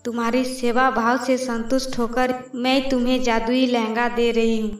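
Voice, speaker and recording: Magical talking tree, played by a woman: motherly, gentle, evenly pitched, heard from a little way off. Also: little pitch movement, moderate pace, roomy sound, far from the mic, soft background music, close to an everyday voice. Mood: reassuring.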